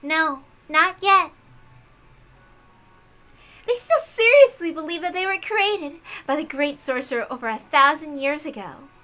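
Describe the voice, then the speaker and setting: Woman doing a very high-pitched prim inquisitor. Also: animated, unhurried, pauses often, pushed and bright, soft background music, exaggerated voice. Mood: coldly disdainful. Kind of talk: visual novel read-aloud.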